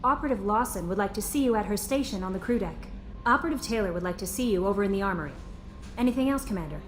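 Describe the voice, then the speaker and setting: An actress playing an attentive, sympathetic young ship's aide in a video game, polite and gentle. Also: female voice, mid-range voice, expressive, very fast, natural voice. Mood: helpful. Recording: noisy game ambience.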